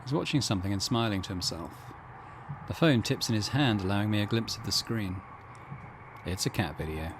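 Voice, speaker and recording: Casual man narrating a lively let's play. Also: on the low side, a little fast, background noise. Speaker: gaming channel host. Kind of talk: casual let's play commentary and read-aloud.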